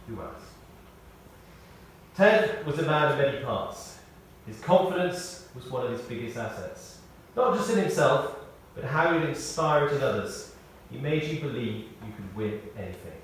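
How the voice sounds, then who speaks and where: Male eulogist, measured and drily humorous storyteller, reading a tribute in a very reverberant church, off-mic with faint noise. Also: medium pitch, sing-song, distant mic. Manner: measured storytelling with dry humour. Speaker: eulogist at a memorial service. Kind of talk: prepared spoken tribute, read aloud. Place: speaking in a large church.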